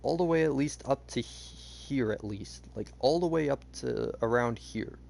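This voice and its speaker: A young man chatting casually while he plays a game, rambling with lots of fillers, his voice a bit hoarse. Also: mid-range voice, measured pace, darkish tone. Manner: rambling, hesitant, many fillers. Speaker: streamer. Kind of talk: casual gameplay chat.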